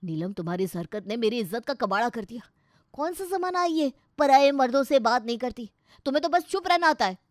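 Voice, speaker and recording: A woman's voice: on the high side, animated, a little fast, no room sound, studio quality, pitched above the performer's own voice, natural voice. Woman voicing an irritable, scornful young husband. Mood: angry. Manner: loud, scolding, sarcastic. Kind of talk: dramatised Hindi moral story.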